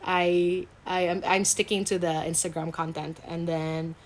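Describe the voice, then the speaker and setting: A woman from Indonesia with a fairly low voice talks casually as an interview guest. She is a counselor, speaking in an informal, candid and self-reflective way. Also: level tone, a little slow, rough recording. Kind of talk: casual video interview chat.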